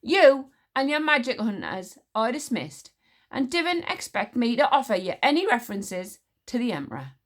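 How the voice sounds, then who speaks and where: A woman's voice: on the high side, sing-song, normal speed, pitched above the performer's own voice. Woman voicing a cold, commanding warrior queen. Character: stern proud warrior queen, icy and grim.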